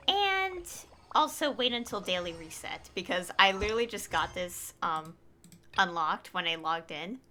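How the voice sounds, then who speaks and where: High, bright-voiced woman streamer. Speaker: game livestreamer. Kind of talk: casual livestream commentary. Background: game music.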